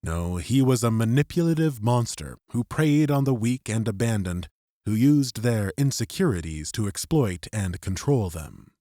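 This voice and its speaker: A man's voice: lowish voice, animated, normal speed, warm tone, no echo, studio quality. A man reading dramatic scripted narration as an audiobook narrator. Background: music.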